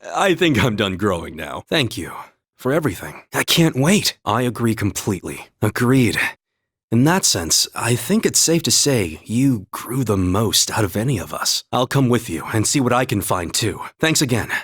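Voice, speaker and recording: A man playing an earnest young holy knight in a video game, with a husky voice and a measured, formal, noble delivery. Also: medium pitch, big pitch swings, fairly bright tone, no room sound, clean studio sound. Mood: calm, earnest. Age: young adult.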